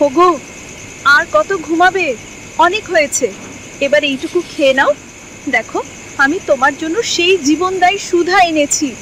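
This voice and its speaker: A woman's voice: very high-pitched, moderate pace, long pauses, pushed and bright, a little hiss or hum, poor audio. A woman playing a determined, devoted wife in a cartoon drama, speaking with fervent emotion. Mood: tender. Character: Mithila, determined devoted wife fighting to save husband. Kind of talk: Bengali cartoon drama acting.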